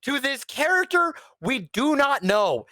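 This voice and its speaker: A woman with a lively, swooping delivery in a close, dry recording. She co-hosts a casual review show and gives opinions bluntly, with slang and swearing. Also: high-pitched, a little slow, no room sound. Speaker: podcast co-host reviewing animated films.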